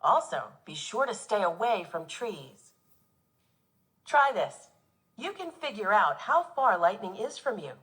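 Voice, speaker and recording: A woman teacher reading a nonfiction children's book aloud in an expressive, instructive way for young pupils. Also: mid-range voice, measured pace, poor audio.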